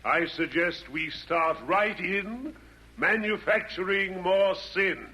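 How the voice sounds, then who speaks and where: An actor playing a gleefully wicked Roman emperor demon, deliberate and sing-song in a 1940s radio style. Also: male voice, high-pitched, sharp tone, exaggerated voice. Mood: sly, gleeful. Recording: old 1940s radio broadcast.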